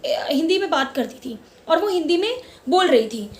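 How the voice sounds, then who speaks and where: A woman's voice: sits high, expressive, moderate pace, darkish tone. A middle-aged Indian woman hosting her own vlog, speaking with passion, repeating words for emphasis and mixing English words into her Hindi. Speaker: channel host. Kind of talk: casual opinion vlog. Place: lives in Mumbai.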